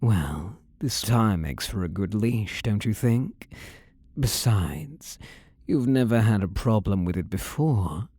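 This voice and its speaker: A man's voice: on the low side, warm tone, husky, dry recording, clean studio sound, heavily acted. A man playing a possessive demon-possessed ex-boyfriend in a scripted roleplay audio drama, condescending and teasing with coaxing endearments. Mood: coaxing, falsely gentle. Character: controlling, possessive demon-possessed ex-boyfriend, smug and menacingly tender.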